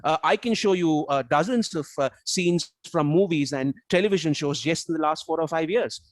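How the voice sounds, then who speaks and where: A man's voice: on the high side, normal speed, no echo. A man whose first language is Tamil, speaking as a guest in a casual analytical discussion, building careful arguments with frequent repeats and restarts. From South Asia, Tamil-speaking region. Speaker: guest reviewer on a book discussion.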